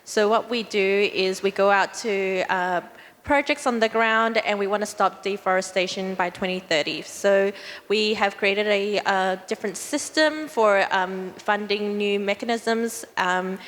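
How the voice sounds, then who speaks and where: Panel guest woman from Australia, mid-pitched, earnest, reverberant hall. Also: a bit bright. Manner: earnest, with filler pauses. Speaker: panel guest, nonprofit worker. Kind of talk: self-introduction on a panel. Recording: long reverb of a large hall.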